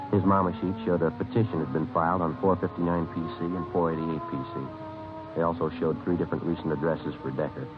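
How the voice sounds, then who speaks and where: An actor playing a terse, businesslike police detective and narrator in a vintage radio crime drama, clipped and deadpan. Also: male voice, extremely low pitch. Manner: clipped, deadpan, matter-of-fact. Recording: lo-fi vintage radio recording, music underneath.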